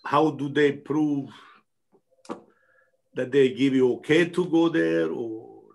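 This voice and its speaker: A man's voice: on the high side, slow, vocal fry, a little mellow, low-quality recording. A man at a training meeting asking a question hesitantly, often restarting his sentences. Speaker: meeting attendee.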